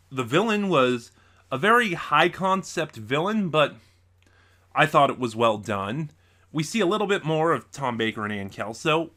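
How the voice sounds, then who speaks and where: A man giving a casual, unscripted ranking review as a video reviewer, thinking aloud in short, halting bursts. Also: medium pitch, pushed and bright. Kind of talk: casual review monologue ranking audio drama stories.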